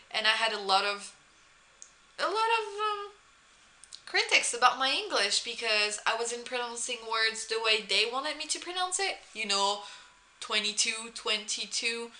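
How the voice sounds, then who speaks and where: Bright young French-accented woman. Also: a little high. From France. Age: young adult. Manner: chatty, many "um" pauses and restarts. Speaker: vlogger, former exchange student. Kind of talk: casual talk to camera.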